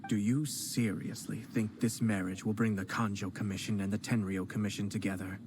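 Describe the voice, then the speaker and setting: An actor playing a composed male noble commissioner in a game, speaking calmly and politely with a cutting edge. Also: lowish voice, fairly quick, over music, lightly acted. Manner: calm, polite, coolly cutting. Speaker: game character. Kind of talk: game cutscene voice acting.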